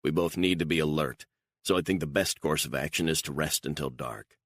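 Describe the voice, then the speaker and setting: A man voicing a calm, controlled assassin who speaks in short, firm sentences. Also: very deep voice, fairly quick, no echo. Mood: steady. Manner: level, terse, firm.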